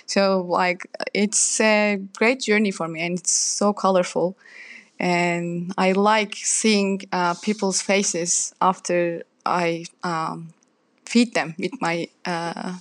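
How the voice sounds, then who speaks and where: A woman's voice: on the low side, little pitch movement, slow, no room sound. A young woman chef with a Turkish accent, speaking English as a second language in a casual interview, hesitant with many fillers and light laughs. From Turkey.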